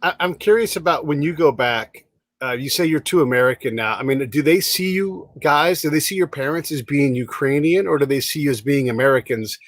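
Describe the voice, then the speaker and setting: Higher-voiced male host, dry sound. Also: fairly quick, no room sound. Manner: probing, stumbling restarts, wry asides. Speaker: podcast host. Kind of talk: interview questions.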